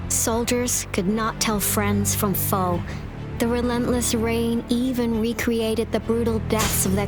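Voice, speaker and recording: An actress playing a composed, polite female director of city defenses in a game, speaking in a measured, formal, earnest way. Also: a little high. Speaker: video game character. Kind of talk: scripted game cutscene dialogue. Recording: music behind the voice.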